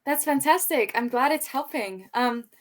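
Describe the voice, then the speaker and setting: A woman's voice: high-pitched, normal speed. A woman tutoring English over a video call, encouraging and upbeat, often laughing. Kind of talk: online tutoring conversation.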